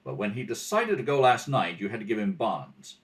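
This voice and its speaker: A man playing a shrewd, composed detective in a radio mystery, measured, pointed and wry. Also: fairly low pitch, very animated. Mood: probing, accusing. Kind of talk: old-time radio mystery acting.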